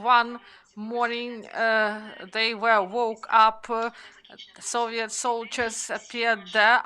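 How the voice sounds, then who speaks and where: A woman in her thirties, a native Romanian speaker from Ukraine, giving halting remarks at a press conference with many pauses and fillers. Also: on the high side, pushed and bright, clean tone, low-quality recording. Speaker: panel speaker.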